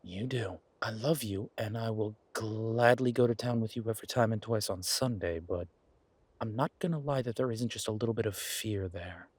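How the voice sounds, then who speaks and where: Husky, low-voiced boyfriend played by a man. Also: no echo. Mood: teasing, affectionate. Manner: casual, halting, coaxing. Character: affectionate, eager boyfriend pitching grand ideas to his werewolf girlfriend. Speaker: audio roleplay performer. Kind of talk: one-sided boyfriend audio roleplay.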